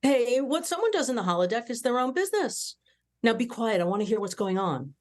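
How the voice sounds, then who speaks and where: A brash young woman officer from a sci-fi cartoon script, read by an actress at a table read. She is nosy, mischievous and bossy. Her intonation swings in a lively, expressive way. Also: fairly high pitch, fairly quick. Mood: defensive, fascinated.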